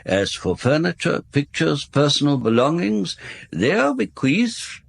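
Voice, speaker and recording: Old, circumspect family lawyer for radio drama: raspy, lively intonation, stammering, politely formal. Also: male voice, fairly high pitch, measured pace, exaggerated voice. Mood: discreet, loyal. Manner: hesitant, stammering, formal phrasing. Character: courteous, wistful, circumspect old male solicitor. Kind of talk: British radio drama acting.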